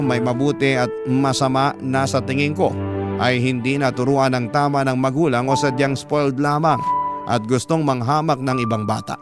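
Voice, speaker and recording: A man with a Filipino accent narrates a first-person radio drama. His mid-pitched voice is reflective, in a scripted storytelling style, with loud music underneath.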